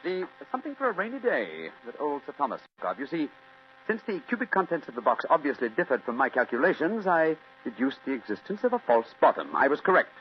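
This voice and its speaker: Suave English detective, composed, analytical, richly varied intonation, old radio drama, muffled humming recording. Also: male voice, a little high, sing-song, quick pace, a little hiss or hum. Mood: pleased, assured. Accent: British. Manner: unruffled, precise, formal. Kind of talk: 1940s radio drama acting.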